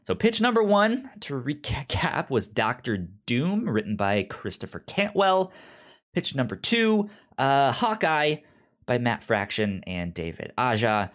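A man's voice: mid-range voice, very animated, unhurried, fairly bright tone. A man co-hosting a podcast in casual, unscripted chat, often stammering and repeating syllables. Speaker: podcast co-host.

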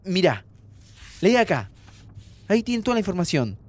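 A man's voice: sits high, sing-song, moderate pace. An actor playing a playful, impatient young man with an Argentine accent, informal and teasing, in a naturalistic audio drama. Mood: pleading, eager. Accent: Argentine Rioplatense. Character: pleading, playful, impatient young man planning time travel. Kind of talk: naturalistic audio fiction acting.

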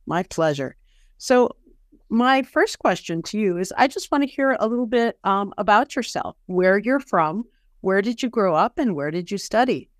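A woman's voice: mid-range voice, expressive, normal speed, no room sound, clean studio sound. A woman hosting a podcast interview, introducing and putting prepared questions to a guest in a conversational way.

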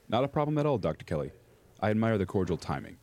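Man acting as imperious senior scientist, very deep, expressive intonation, measured, formal, dismissive, audio drama. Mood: formal, composed. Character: calm, imperious senior male scientist running secret labs. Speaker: doctor, lab director.

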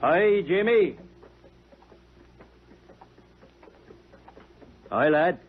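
An actor playing a blunt, wheedling body-snatcher, rough and familiar, in a 1950s radio drama. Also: male voice, high voice, animated, a little slow. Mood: jovial, coaxing. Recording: tinny, noisy old 1950s radio broadcast.

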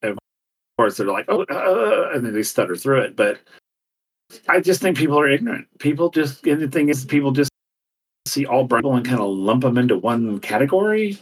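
A man in his forties co-hosting a podcast, chatting casually in an animated, theatrical way and laughing often. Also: mid-range voice, fairly quick, slightly rough, far from the mic, rough recording. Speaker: podcast co-host. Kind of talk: casual chat.

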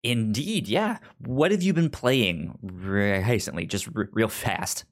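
A man's voice: on the low side, animated, slow pace. A man hosting a podcast in a casual, chatty style. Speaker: podcast host.

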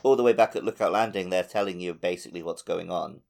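A man chats casually as co-host of a gaming podcast. His voice is on the low side, with a clear creak and gravelly fry running through it. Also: fast talker, darkish tone.

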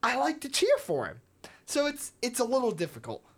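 A woman's voice: a little high, wildly swooping pitch, fairly quick. A woman from the United States co-hosting a sports podcast, chatty and playfully teasing her co-host. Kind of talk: casual sports podcast chat.

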